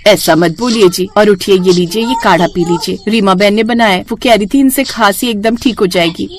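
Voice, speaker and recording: A woman voicing a gentle, worried wife in a children's story, earnest and polite. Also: mid-range voice, a little fast, a little hiss or hum, lightly acted. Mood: grateful, caring.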